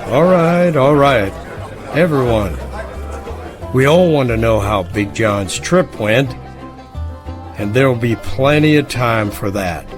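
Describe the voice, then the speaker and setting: A man playing a calm, cautious camp leader in an audio drama, measured and authoritative. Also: mid-range voice, over music, crowd noise. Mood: calm, commanding.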